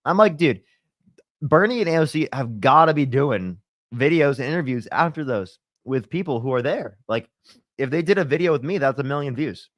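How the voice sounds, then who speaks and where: A man's voice: medium pitch, lively intonation, moderate pace, dry recording. Congested young man chatting on a livestream. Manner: laid-back, filler-heavy, lots of "like" and "you know". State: congested, slightly sore throat. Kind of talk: casual livestream chat reading comments.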